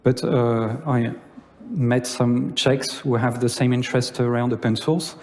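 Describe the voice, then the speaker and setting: A man from France with a French accent giving a conference talk in English, pausing often with filler sounds. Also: mid-range voice, fairly even intonation, a little mellow. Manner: hesitant, many filler sounds. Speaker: conference speaker. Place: lecture hall. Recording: long reverb of a hall.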